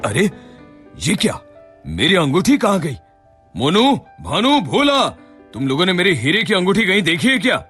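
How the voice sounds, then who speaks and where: A man playing a shrewd, wealthy shop boss in a Hindi moral-story cartoon, with a measured, theatrical way of talking. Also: sits high, wildly swooping pitch, a bit bright, faint music behind, heavily acted. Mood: alarmed.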